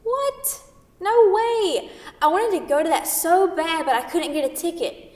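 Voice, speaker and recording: A woman's voice: very high-pitched, lively intonation, unhurried, darkish tone. A woman reading the part of a brash, teasing New York City woman in a staged play, pushy and playfully sarcastic. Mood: excited, envious. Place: theatre, recorded from a distance. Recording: distant mic in echoey hall.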